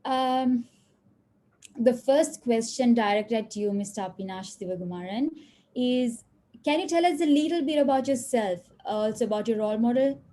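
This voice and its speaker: A woman hosting a webinar, giving a formal introduction and asking interview questions in a measured way. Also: a little high, warm tone. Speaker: webinar host. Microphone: video call.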